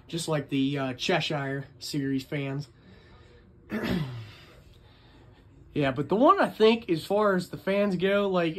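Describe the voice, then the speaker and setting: A man with a fairly high voice narrates a homemade hobby video. He rambles along in a casual, chatty way, showing off his collection with an enthusiast's keenness. The delivery is unscripted and conversational. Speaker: vlogger, ceiling fan collector.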